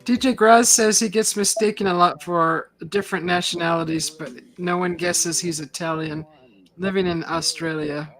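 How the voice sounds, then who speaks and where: A live-stream host who reads viewer comments out loud and chimes in with short agreeing remarks. The delivery is plain and conversational. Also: medium pitch, steady tone, moderate pace, faint music behind, low-quality recording. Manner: brief, affirming interjections.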